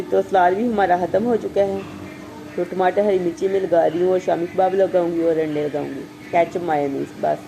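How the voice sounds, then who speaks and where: A woman from Pakistan with a Pakistani Urdu accent, vlogging her daily routine at home, chatting softly with a hoarse voice from a sore throat. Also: on the low side, background noise. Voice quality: soft, chatty, full of blessings and "ji".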